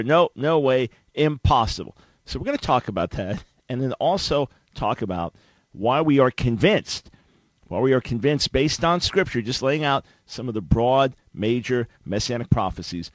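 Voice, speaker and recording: A man's voice: medium pitch, no room sound. A man hosting a talk radio show, speaking emphatically in rhetorical bursts.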